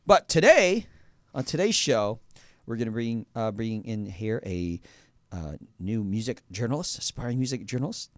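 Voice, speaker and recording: A man's voice: on the low side, lively intonation, normal speed, no echo. A man hosting a radio show in a studio, chatting casually, full of fillers and restarts. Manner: rambling, many fillers and restarts.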